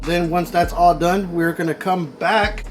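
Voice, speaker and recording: A man's voice: sits high, steady tone, unhurried. A man hosting a DIY car audio build video, talking informally and explaining each step as he works. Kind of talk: casual hands-on workshop tutorial. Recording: music playing behind the voice. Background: music.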